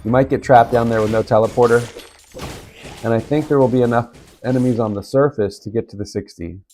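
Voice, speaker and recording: A man with a raspy voice from being sick, giving low-key commentary on his gameplay. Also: a little fast, slight background noise. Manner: relaxed, low-key play-by-play with occasional coughs. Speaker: gaming video host. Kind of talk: casual game commentary.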